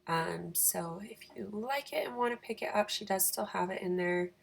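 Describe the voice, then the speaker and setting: Female craft vlogger, raspy and creaky, mid-pitched, chatty and self-deprecating, casual voice-over. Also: moderate pace. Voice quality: raspy, croaky. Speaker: planner channel host.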